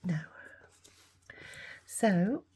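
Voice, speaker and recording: A middle-aged woman with a croaky voice, half-whispering to herself while making a collage. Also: very slow, mellow. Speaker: craft video host. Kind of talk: soft-spoken crafting commentary.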